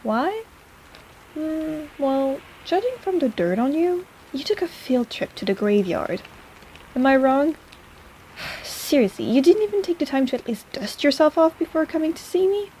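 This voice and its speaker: A woman's voice: high-pitched, big pitch swings, a little slow, warm tone, a little hiss or hum, poor audio. A woman playing a sarcastic but caring apothecary in an intimate personal-attention audio roleplay, with a playful, chiding manner. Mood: sardonic, teasing.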